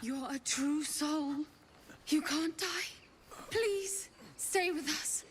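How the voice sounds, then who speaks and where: A woman's voice: sits high, drawn-out words, slight background noise. A woman playing a devout, earnest cult follower in a fantasy video game, speaking solemnly and reverently. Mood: pleading. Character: devout, grieving young female cult follower. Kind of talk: dramatic video game acting.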